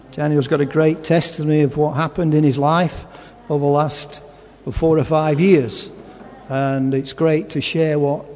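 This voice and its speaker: A man's voice: a little high, a little mellow. Hesitant male church leader, echoing hall. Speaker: church leader introducing a speaker. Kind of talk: church service introduction. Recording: long hall reverb.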